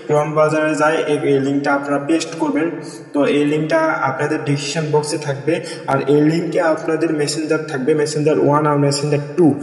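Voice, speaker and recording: A man's voice: fairly high pitch, a little mellow. A man with a Bangladeshi accent hosting an informal step-by-step screen tutorial, repeating his instructions and mixing in English tech terms. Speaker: tutorial video host. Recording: echoey, reverberant, distant from the mic.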